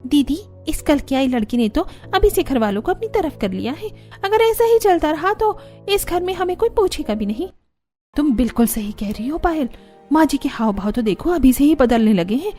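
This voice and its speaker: Cartoon schemer, jealous young daughter-in-law played by a woman, high-pitched, indignant, complaining, faint music underneath. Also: big pitch swings, moderate pace, dark tone. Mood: scheming. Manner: taunting, indignant.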